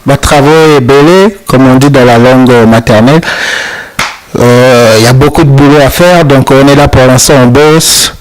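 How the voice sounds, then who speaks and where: A man's voice: mid-range voice, moderate pace, slight background noise, low-quality recording. A man from Congo with a Congolese accent, a reggae musician answering interview questions hesitantly, with many fillers.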